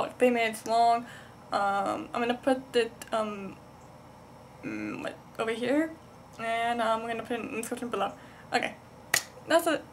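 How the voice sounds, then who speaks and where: A young woman chatting casually as a vlogger, rambling and halting, constantly dropping "like" into her sentences. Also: fairly high pitch. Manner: halting, heavy use of "like", rambling. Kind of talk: casual rambling chat to camera. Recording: noticeable background noise, amateur vlog sound.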